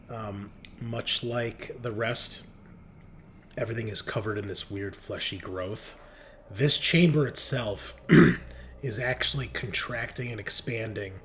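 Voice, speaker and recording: A man narrating a tabletop roleplaying game as game master, describing scenes in a halting, improvised way. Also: lowish voice, fairly bright tone, a little hiss or hum.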